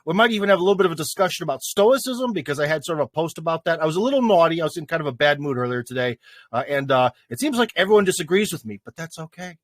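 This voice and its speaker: A man's voice: fairly high pitch, animated, very fast, dry recording, studio quality. A man hosting a casual live stream, rambling with many fillers and chuckles. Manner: rambling, lots of fillers and laughs.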